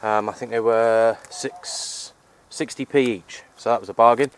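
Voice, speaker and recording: A man giving casual, halting, unscripted commentary for an outdoor hobby vlog, recorded on a handheld camera. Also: medium pitch, fairly even intonation, clear, resonant tone. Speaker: vlogger, CB radio hobbyist. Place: open hillside under trees, outdoors. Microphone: handheld camera microphone.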